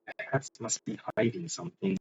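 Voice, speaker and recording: A man's voice: a little high, lively intonation, a little fast, gravelly, lo-fi sound, noisy background. A man streaming a game and reading its dialogue lines aloud.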